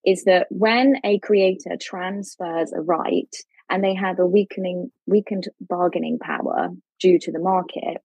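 A woman guest on a podcast explaining legal points quickly, with many fillers and restarts. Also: fairly low pitch, a little mellow, breathy edge, no echo, dull audio. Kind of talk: podcast discussion.

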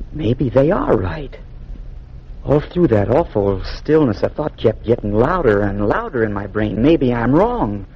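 An actor playing an earnest, humble young Union soldier with an American accent and folksy, hesitant speech in a 1940s-style radio drama. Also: male voice, a little high, husky, heavily acted. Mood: haunted, hushed. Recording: muffled old 1940s radio recording.

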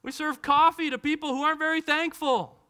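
A man in his thirties preaching a sermon on a large church stage, animated and acting out the voices of people in the text, heard through a headset mic. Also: very high voice, brisk. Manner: animated, gestures widely and acts out voices from the text. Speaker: pastor.

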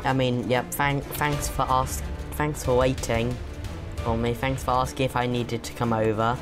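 Young man, a bit croaky, teasing gamer. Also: medium pitch, level tone, measured pace, over music. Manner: chatty, teasing, hesitant with frequent ums. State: sore throat, a bit croaky. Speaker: co-host playing a game with his father. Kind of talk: casual gaming chat.

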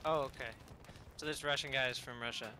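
A man's voice: mid-range voice, steady tone, fairly quick, crowd noise. A young man in casual voice chat while gaming, teasing and laughing often. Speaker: gamer.

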